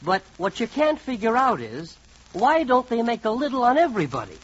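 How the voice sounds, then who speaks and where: A man with a high, very animated voice narrates a 1940s radio comedy. He is wry and conversational, addressing the listener directly. The old recording is dull and slightly noisy. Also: fairly quick.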